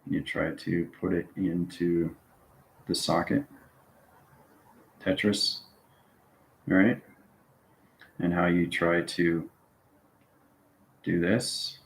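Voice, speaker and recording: Low-voiced man teaching in an echoey room. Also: flat delivery, drawn-out words, pauses often. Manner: repetitive, keeps checking with "okay" and "right". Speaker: online teacher of a spiritual live stream. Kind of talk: informal live lesson to viewers. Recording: roomy, echoing room sound.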